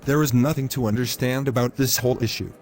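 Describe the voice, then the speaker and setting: A male actor plays a selfish young boyfriend in a moral-story audio drama. He argues in formal, wordy lines and keeps pushing his point. His voice sits at an average male pitch, with soft music underneath. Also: steady tone, fast talker, natural voice. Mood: insistent, aggrieved. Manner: formal wordy phrasing, insistent. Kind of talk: scripted moral-story dialogue.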